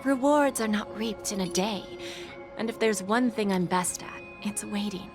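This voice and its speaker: A woman's voice: mid-range voice, normal speed, close to an everyday voice. Woman playing shrewd, patient dealmaker over music. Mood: patient, sly. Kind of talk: dramatic video game cutscene dialogue. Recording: music under the voice.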